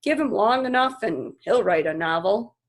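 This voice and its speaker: A woman reading a children's novel aloud as a reluctant, doubtful father, measured and weary. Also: medium pitch, expressive, natural voice.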